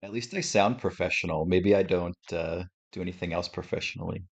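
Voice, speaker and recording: Deep-voiced man with a radio sound. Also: a little fast, vocal fry, slightly rough. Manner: relaxed, thinking aloud, self-deprecating. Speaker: live stream host. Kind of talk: casual live game reaction commentary. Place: home with a TV on. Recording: slightly muffled lo-fi audio.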